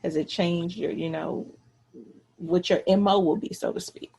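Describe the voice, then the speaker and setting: A woman's voice: fairly low pitch, steady tone, moderate pace, gravelly, warm tone, slightly rough, rough recording. A woman hosting a radio talk show, chatting frankly and casually in slangy, conversational language with her guest. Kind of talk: casual, frank interview chat.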